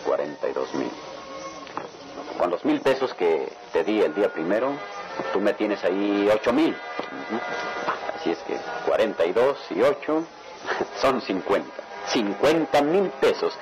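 A man's voice: fairly low pitch, expressive, a little slow, music in the background, rough recording. An actor playing a proud, jovial middle-aged father in a radionovela, with a declamatory manner. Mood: excited, assured. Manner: chuckling, declamatory patriarch. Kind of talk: radionovela acting.

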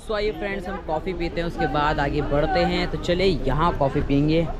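A man's voice: on the high side, a little fast. Chatty young man vlogging outdoors. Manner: chatty, addresses viewers as friends, mixes English words in. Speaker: travel vlogger. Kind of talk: casual walking-tour vlog. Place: outdoors in a village street. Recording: street crowd chatter behind.

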